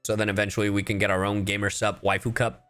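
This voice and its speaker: A man livestreaming a game, chatting casually and goofily with his audience in an animated way. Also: on the low side, quick pace, faint music behind. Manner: animated, goofy, addresses chat directly. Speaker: livestreamer, VTuber. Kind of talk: casual livestream chat while gaming.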